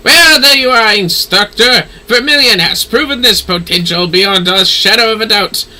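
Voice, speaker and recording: A man's voice: high-pitched, moderate pace, sharp tone. A man voicing an eloquent, effusive nobleman from a fantasy game, with florid, theatrical phrasing. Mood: gracious, effusive. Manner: florid, theatrical, formal speech. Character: flamboyant, eloquent nobleman, effusive patron of a workshop. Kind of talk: fantasy game dialogue read aloud.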